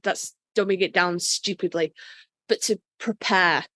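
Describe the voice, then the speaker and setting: A woman's voice: on the low side, fairly even intonation, a little slow, fairly bright tone, no room sound. A woman co-hosting a podcast, chatting casually and emphatically with informal, sweary phrasing. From Britain. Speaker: podcast co-host. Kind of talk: casual fan discussion chat.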